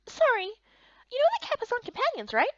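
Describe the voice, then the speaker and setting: A woman's voice: very high voice, huge pitch swings, fast, a bit bright, no room sound, highs cut off, voice pushed up, exaggerated voice. A woman voicing a bubbly, chatty, kindly female fairy in a visual novel game. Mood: explanatory. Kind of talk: voiced playthrough of fantasy visual novel.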